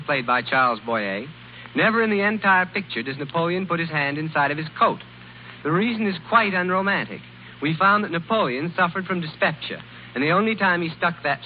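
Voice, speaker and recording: Measured man in a 1930s radio interview. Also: fairly high pitch. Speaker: guest. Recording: muffled old radio broadcast with hiss.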